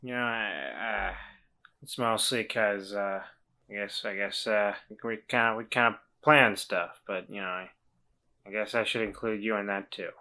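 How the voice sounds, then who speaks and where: Casting: male player as a gentle, anxious friend in a tabletop game; bright tone, halting, repetitive delivery. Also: sits low, steady tone, full character voice. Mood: hesitant, friendly. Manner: halting, stammering, repeats words. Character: Walter, worried, gentle, hesitant friend trying to reassure.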